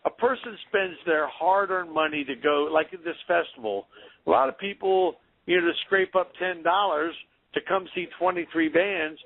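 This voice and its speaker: A man's voice: on the high side, moderate pace, dry recording, phone-quality sound. A man from North Carolina, a metal band member, chatting casually in a radio interview and telling stories. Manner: storytelling, animated impressions.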